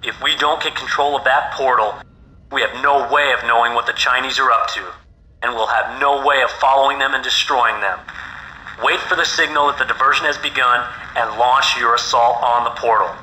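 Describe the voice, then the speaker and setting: An actor playing an authoritative military commander, serious and clipped, giving briefings over radio in a video game. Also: male voice, mid-range voice, fairly even intonation, moderate pace, pushed and bright. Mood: stern. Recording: noisy, echoing military radio transmission.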